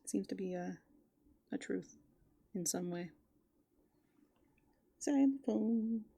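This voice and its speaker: A soft-spoken woman doing rambling, playful ASMR as a raccoon, musing aloud and often slipping into whispers. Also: mid-range voice, slow pace, gravelly, noisy background. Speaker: ASMR host. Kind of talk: furry roleplay ASMR, improvised rambling.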